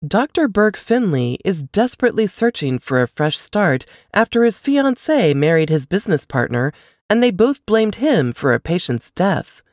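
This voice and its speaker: A woman giving a polished, expressive scripted narration of a romance novel summary. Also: fairly low pitch, no echo, studio quality. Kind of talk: scripted narration of a book blurb.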